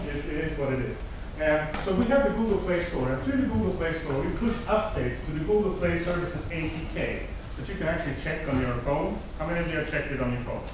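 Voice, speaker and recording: A man with a Swedish accent, native Swedish speaker, giving an upbeat technical conference talk and asking the audience questions. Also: fairly high pitch. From Sweden. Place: large conference hall. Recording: echoey hall, mic at a distance, noisy.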